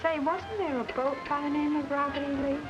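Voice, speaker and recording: A woman's voice: high voice, a little fast, lo-fi sound, over music, close to an everyday voice. An actress playing a plain-talking, curious American girl in a 1940s film.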